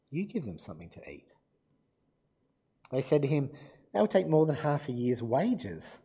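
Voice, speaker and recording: A man preaching a sermon and reading scripture aloud, his voice croaky from being unwell, relaxed and self-deprecating. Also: medium pitch. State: croaky from illness.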